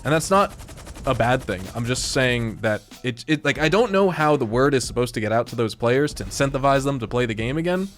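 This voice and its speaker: A young man commentating casually over his gameplay, rambling and conversational, with a slightly hoarse, congested voice. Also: medium pitch, animated, fairly quick, fairly bright tone, soft background music. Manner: rambling, conversational, many fillers. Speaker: gaming YouTuber.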